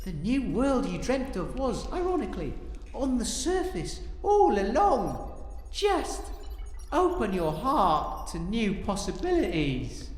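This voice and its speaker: A man playing an excitable, kind-hearted, slightly dim hero, earnest and florid, in a comic audio drama. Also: sits high, slow pace, echoey room, big-room sound, full character voice. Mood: earnest, soothing.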